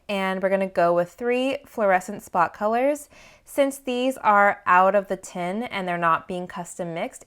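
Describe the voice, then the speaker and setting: A woman artist talking through her book planning in a casual, explanatory vlog voice, often filling gaps with "like". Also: medium pitch. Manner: relaxed, chatty, frequent "like" fillers. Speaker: artist and vlogger.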